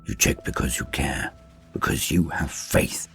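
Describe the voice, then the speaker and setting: A devout, weary acolyte, acted out by a male narrator speaks in a very low, husky voice. He sounds earnest, anxious and prayerful, hushed as in a grim war audiobook. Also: a little slow, whispered, faint music behind, full character voice. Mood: whispered reassurance.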